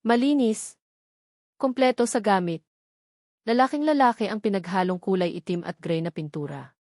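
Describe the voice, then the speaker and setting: A woman's voice: medium pitch, moderate pace, a little mellow, no room sound, clean studio sound. A woman with a Filipino accent reading a scripted first-person romance story as an audiobook narrator.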